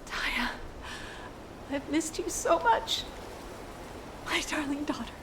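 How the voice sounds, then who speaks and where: A high-voiced mother in a dramatic game scene. She is a soldier overcome with feeling for her daughter, with faint noise behind her. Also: expressive, slow pace. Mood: tender longing. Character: emotional grieving mother, soldier, relieved her daughter lives. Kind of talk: dramatic video game voice acting.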